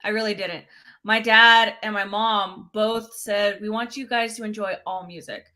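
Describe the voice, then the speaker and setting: A young woman, a singer-songwriter guest, chatting casually in an interview, bubbly and quick to laugh. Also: medium pitch, steady tone, bright, forceful tone.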